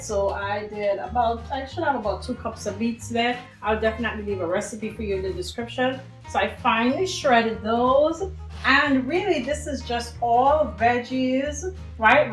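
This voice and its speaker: A woman's voice: on the high side, reverberant, low-quality recording. A woman from Trinidad and Tobago hosting a home cooking demonstration, chatty and talking to viewers as friends. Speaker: cooking show host.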